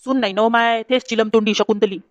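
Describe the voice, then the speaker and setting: A woman voicing a grumbling, sharp-tongued village mother-in-law in a comedy cartoon, with a Varhadi Marathi accent. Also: fairly high pitch, fairly even intonation, fast, dry recording, dull audio, lightly acted. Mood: gossipy, resentful. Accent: Varhadi Marathi from Vidarbha. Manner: griping, gossipy, insulting. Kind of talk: comedy cartoon dialogue in rural dialect.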